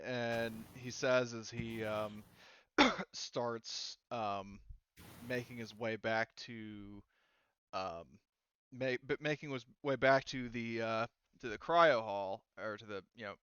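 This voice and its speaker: A man improvising narration as a game master, halting as he searches for words. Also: medium pitch, fairly bright tone, clear, resonant tone.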